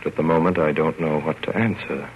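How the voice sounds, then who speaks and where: A man playing a fond, wry, gentlemanly father telling his story with measured theatrical delivery in 1930s radio style. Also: extremely low pitch, steady tone. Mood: wry narration. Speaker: narrator. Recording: muffled, noisy old radio broadcast.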